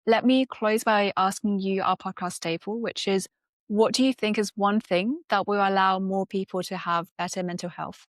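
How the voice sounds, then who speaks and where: A woman's voice: medium pitch, fairly even intonation, moderate pace, dry recording. A woman hosting a podcast, asking curious, polite questions and giving brief prompts. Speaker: podcast host. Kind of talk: interviewer questions.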